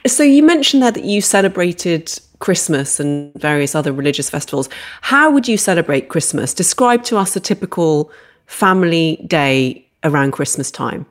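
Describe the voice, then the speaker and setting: Gentle, encouraging woman podcast host. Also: on the low side, lively intonation, moderate pace. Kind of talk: friendly interview questions.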